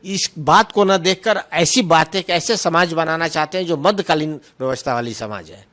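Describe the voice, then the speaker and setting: A man's voice: fairly high pitch, normal speed, a bit bright. A man speaking as a party spokesman, answering questions argumentatively with emphatic repetition and English terms mixed in. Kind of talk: political press remarks, answering questions.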